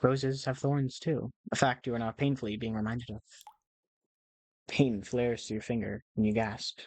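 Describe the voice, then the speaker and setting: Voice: mid-range voice, level tone, normal speed, a little mellow, dry recording. An amateur gamer reading a visual novel aloud in a theatrical storybook manner, with casual asides. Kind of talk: expressive scripted narration with casual asides.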